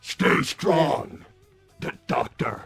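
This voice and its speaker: Guy doing a polite robot for a comedy stream, quiet, formal, keeps repeating words, bit gravelly, music under it. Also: on the high side, lively intonation, very slow, heavily acted. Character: earnest, polite, hesitant male robot with political dreams. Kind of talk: comedic in-character livestream.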